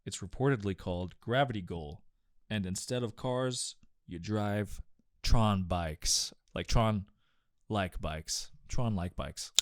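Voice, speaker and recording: A man in his twenties with a somewhat deep voice, recorded close and dry. He talks casually as the co-host of an entertainment news show. His delivery is laid-back and offhand. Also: slow, no echo.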